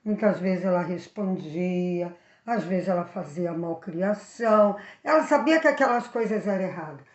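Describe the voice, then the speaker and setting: A woman with a Brazilian accent telling a Bible story for children, speaking directly to the young viewers in an animated way. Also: fairly low pitch, moderate pace, echoey room, dull audio. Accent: Brazilian Portuguese.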